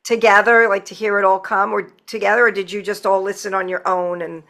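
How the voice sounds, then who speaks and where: A woman's voice: mid-range voice, level tone, fairly quick, vocal fry, fairly bright tone. A woman hosting a podcast in casual conversation, chatty and enthusiastic. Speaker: podcast host. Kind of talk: casual chat.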